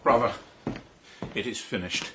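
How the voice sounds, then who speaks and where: An actor playing an embittered, proud, middle-aged count fallen on hard times, indignant and declamatory, in a radio drama. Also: male voice, medium pitch, a little slow, background noise, natural voice. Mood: bitter.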